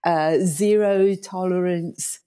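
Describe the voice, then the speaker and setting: A middle-aged woman from South Africa whose first language is Afrikaans, speaking as an interview guest and former school leader in a thoughtful, measured way, often pausing on fillers. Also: fairly low pitch, steady tone, mellow, clean tone. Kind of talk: reflective interview storytelling.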